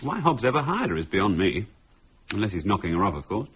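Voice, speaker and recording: An actor playing a breezy, glib husband with a jovial, flippant manner in a radio play. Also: male voice, fairly low pitch, big pitch swings, brisk, fairly bright tone, husky, exaggerated voice. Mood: glib, joking. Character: breezy, glib husband smoothly explaining himself.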